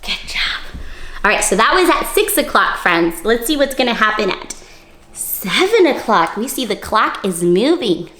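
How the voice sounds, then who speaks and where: A woman leads an interactive storytime for young children in a fairly high, swooping voice. She goes slowly and coaxes listeners with simple questions. The room is audibly echoey. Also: big pitch swings, sharp tone. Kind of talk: interactive picture-book read-aloud for young children.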